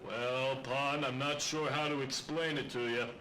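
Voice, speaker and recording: A sardonic, exasperated police captain from a radio play, played by a man. His voice is bright and forceful, with a dry, plain-spoken way of talking. Faint noise sits behind him. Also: medium pitch, steady tone, a little slow, rough recording. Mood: urgent, exasperated. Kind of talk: radio drama dialogue.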